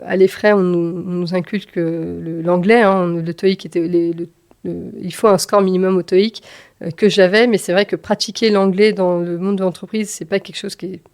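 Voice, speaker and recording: Woman telling her story, halting phrasing. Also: lowish voice, steady tone, darkish tone. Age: 30s. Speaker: podcast guest, engineer and manager. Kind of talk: conversational personal storytelling in an interview.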